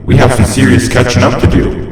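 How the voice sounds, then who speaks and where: A man voicing a possessive living stuffed toy that talks with slow, falsely friendly menace in a horror story reading. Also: mid-range voice, flat delivery, noisy background, lightly acted. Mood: taunting. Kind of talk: horror fan fiction reading. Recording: heavy cavernous echo and long reverb.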